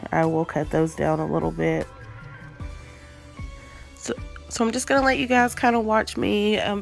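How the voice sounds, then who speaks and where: Casual vlogger, female, stuffy cold voice, gravelly, lots of ums, faint music behind. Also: fairly low pitch. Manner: chatty, frequent ums, relaxed. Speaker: nail art vlogger. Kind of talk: casual unboxing chat.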